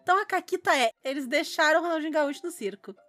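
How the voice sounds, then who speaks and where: High-pitched southern Brazilian woman telling stories. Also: quick pace. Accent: southern Brazilian Portuguese from Rio Grande do Sul. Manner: animated anecdote telling with slangy speech. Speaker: podcast co-host. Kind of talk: casual chat, storytelling.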